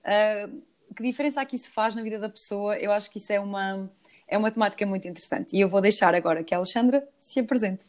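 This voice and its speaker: A woman's voice: medium pitch, fast talker. A woman from Switzerland hosting a podcast interview in a chatty, conversational way. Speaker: podcast host.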